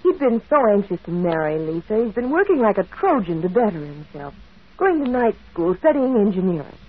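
A woman's voice: mid-range voice, very animated, brisk, slightly rough. An actress playing an earnest, concerned, polite young woman in an old radio drama. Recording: tinny, band-limited old radio broadcast.

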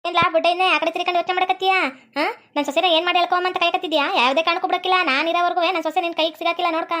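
Brief: a woman as a rapid-fire, very high-pitched older mother-in-law, scolding. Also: steady tone, pushed and bright. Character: shrill scolding old village mother-in-law. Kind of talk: comedy skit acting.

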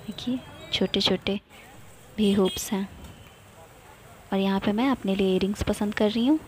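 A woman's voice: mid-range voice, moderate pace, a little mellow, a little hiss or hum. A young woman from India narrating a casual travel vlog, cheerful and chatty, pointing things out to her viewers. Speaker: vlogger.

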